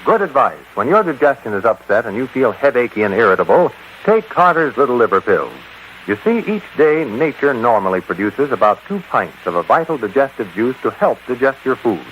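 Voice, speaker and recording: An actor in a vintage radio commercial, playing an everyman who delivers an announcer's sales pitch. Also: very animated, brisk, close to an everyday voice. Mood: earnest selling. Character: irritable man with indigestion turned cheerful pill pitchman. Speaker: advertising announcer. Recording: muffled, hissy old radio recording.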